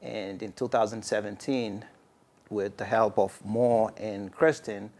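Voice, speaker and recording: A man from Somalia, a farmer and community organizer, speaking at a live event in a measured way with pauses. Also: on the low side, steady tone, a little mellow.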